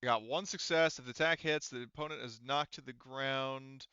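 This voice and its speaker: A man acting as game master in a casual tabletop game, haltingly thinking aloud as he explains rules, on a close, slightly dull microphone. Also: mid-range voice, sharp tone, smooth, dry recording.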